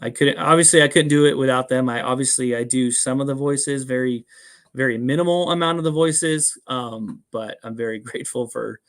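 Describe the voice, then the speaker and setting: A man's voice: medium pitch, fairly quick, fairly bright tone. A man talking casually as a podcast guest and audio drama producer, modest, informal and appreciative. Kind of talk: casual interview chat.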